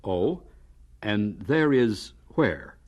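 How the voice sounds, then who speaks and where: A curious, courteous narrator in a radio drama. He questions slowly and deliberately in a husky voice with lively intonation. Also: fairly low pitch, muffled recording, exaggerated voice. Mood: curious, polite. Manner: polite, deliberate, probing. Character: polite, curious male narrator interviewing an old man. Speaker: narrator and interviewer.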